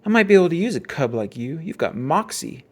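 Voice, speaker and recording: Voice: medium pitch, sing-song, brisk, breathy edge, pitched above the performer's own voice. A storybook reader voicing a swaggering young male bear gang leader, teasing and goading in a slangy way. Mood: cocky, coaxing.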